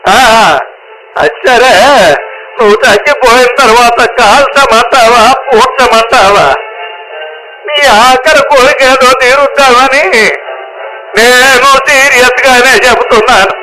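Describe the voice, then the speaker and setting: A man's voice: extremely high pitch, steady tone, slow pace, pauses often, music in the background, low-quality recording. An actor playing a doting old grandfather in a Telugu radio drama, wryly teasing and coaxing as he talks. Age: older.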